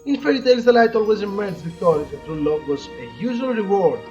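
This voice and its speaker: A man with a noticeably high voice hosts a relaxed let's play. He reads game text aloud and adds chatty, halting asides. The mic sounds dull and lo-fi, with some music playing behind him. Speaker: host of a gaming playthrough. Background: game background music.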